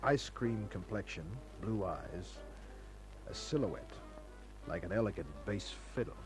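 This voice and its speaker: A low-voiced hardboiled private detective. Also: male voice, lively intonation, unhurried, poor audio. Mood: wry, narrating. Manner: terse, wry, clipped. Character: cool, wary hardboiled male private detective. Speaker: actor playing detective and narrator. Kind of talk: old-time radio crime drama, first-person noir narration. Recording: old radio recording with faint music.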